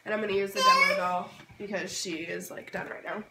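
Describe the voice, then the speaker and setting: Female host for an informal how-to demo, hoarse and under the weather, explaining step by step, picked up from a distance in a noisy space. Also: mid-range voice, steady tone, brisk. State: hoarse from being sick. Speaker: babywearing tutorial host. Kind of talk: casual hands-on tutorial. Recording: roomy, distant mic, noisy background.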